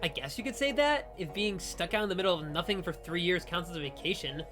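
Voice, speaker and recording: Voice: medium pitch, animated, fast talker, fairly bright tone, soft background music, lightly acted. A game player voicing a breezy, blunt young girl with a casual, teasing way of talking. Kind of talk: visual novel character reading.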